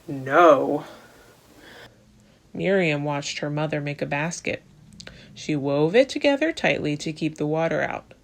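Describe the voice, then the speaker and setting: Slow, low-voiced woman reading to children. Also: lively intonation, gravelly. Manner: deliberate, rhetorical questions posed to young listeners. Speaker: children's storytime reader at a church. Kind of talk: read-aloud children's Bible story with asides.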